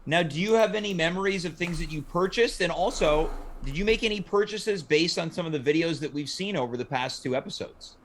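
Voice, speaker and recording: A man's voice: fairly high pitch, fairly quick, few pauses, fairly bright tone. A man hosting a talk show in a casual, chatty style. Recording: noticeable background noise.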